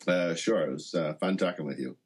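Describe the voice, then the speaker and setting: A man with a very low, rough-edged voice talks as an interview guest. He is thoughtful and reflective, building his point in halting phrases. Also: darkish tone.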